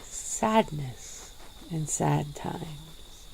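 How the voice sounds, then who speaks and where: Woman playing an ancient, slow-spoken vampire. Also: vocal fry, a little hiss or hum, exaggerated voice, rough recording. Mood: languid. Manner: slow, low, drawn-out purring delivery with soft laughs. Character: centuries-old female vampire, languid and aloof. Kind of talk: ASMR roleplay.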